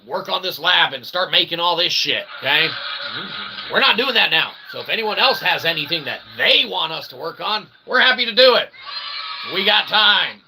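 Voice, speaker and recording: Male vlog host, creaky voice, loose rambling delivery, mock-grand wording, noisy setup. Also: high voice, animated, normal speed. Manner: wordy mock-formal phrasing with constant "okay" tags; self-deprecating. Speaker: independent channel host and developer. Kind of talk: rambling casual monologue to viewers.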